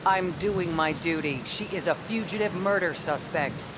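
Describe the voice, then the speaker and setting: A woman's voice: normal speed, natural voice. An actress playing a hard, unyielding female police detective in a dramatic video game cutscene. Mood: stern, threatening. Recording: clear rumbling background noise.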